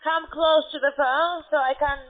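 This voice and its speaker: A woman with a high voice makes a scripted sales call as an account manager. She sounds squeezed through a narrow, tinny telephone line. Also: steady tone, normal speed.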